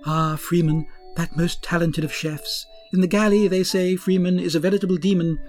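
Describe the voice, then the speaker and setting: A man's voice: sits high, steady tone, normal speed, darkish tone, soft background music, natural voice. A man playing a grand, pompous starship captain who speaks in courtly rhymes. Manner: pompous, rhyming, courtly speech.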